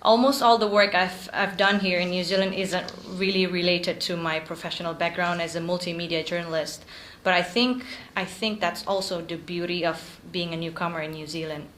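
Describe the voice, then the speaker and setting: A woman's voice: on the low side, fairly even intonation, normal speed, hardly any pauses, fairly bright tone, echoey room, a little hiss or hum. A woman in her thirties from Indonesia, giving an earnest prepared speech at a club meeting, with frequent ums. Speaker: guest speaker.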